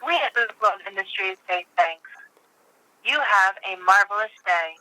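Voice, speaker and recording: A man's voice: sits high, expressive, a little slow, bright, forceful tone, no echo, low-quality recording. A man delivering a formal, polite corporate recorded message, heard through a telephone speaker. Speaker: automated phone line greeter. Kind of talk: scripted recorded phone message. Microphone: played through phone speaker.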